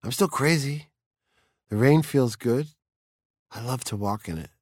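A man's voice: medium pitch, unhurried, a little mellow, dry recording, studio quality, pitched above the performer's own voice, natural voice. A man voicing a peculiar, dreamy teenage girl of nearly seventeen, whimsical and earnest in her chatter.

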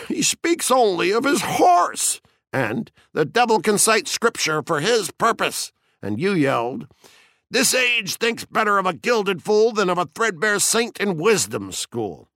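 A man's voice: medium pitch, fairly bright tone, no echo, studio quality, voice pushed up. A man reading an audiobook as a grandiloquent fire chief, needling and mock-jovial, with a sly, gleeful, declamatory delivery. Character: Beatty, taunting, grandiloquent male fire chief, slyly gleeful bully. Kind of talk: audiobook character acting.

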